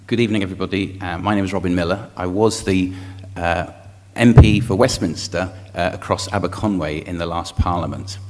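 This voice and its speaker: A man's voice: low voice, a little fast, darkish tone. A man from North Wales with a North Welsh accent, introducing a panel in a friendly, filler-laden way. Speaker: panel chair. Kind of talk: event introduction. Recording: long hall reverb.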